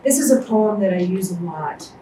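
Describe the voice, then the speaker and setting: Woman reading a poem deliberately. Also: on the low side. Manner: calm, deliberate poetry reading. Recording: echoey room, distant mic, faint noise.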